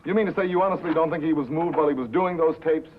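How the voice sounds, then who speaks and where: An actor playing a wry, guarded, quietly troubled radio reporter in a 1950s film drama, with dry, understated, clipped replies. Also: male voice, on the high side, racing, lightly acted. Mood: troubled, subdued. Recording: tinny, noisy 1950s film soundtrack.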